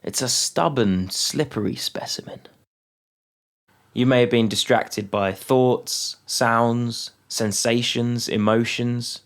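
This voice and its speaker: Gravelly-voiced man guiding a meditation. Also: mid-range voice. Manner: calm, unhurried, with long pauses between phrases. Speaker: meditation guide. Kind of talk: guided mindfulness meditation.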